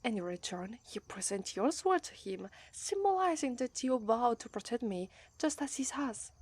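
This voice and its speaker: A woman with an Italian accent voicing a shy, affectionate young demon princess bride in an ASMR roleplay. She speaks gently and softly, often drops to a whisper, and falters and restarts when she is nervous. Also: a little high, lively intonation, normal speed, slightly rough, no echo. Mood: wistful. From Italy. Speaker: voice actress.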